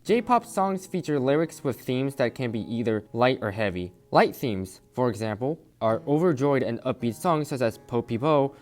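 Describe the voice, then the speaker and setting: Young male narrator for scripted commentary, medium-pitched voice over a clear music bed. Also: very animated, normal speed, few pauses. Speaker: video essay narrator.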